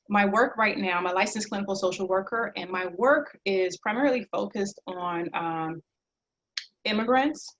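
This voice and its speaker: A woman in her thirties from Liberia answers interview questions conversationally as a guest, reflective and halting, often pausing between phrases. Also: lowish voice, a bit bright, low-quality recording. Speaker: interview guest, clinical social worker.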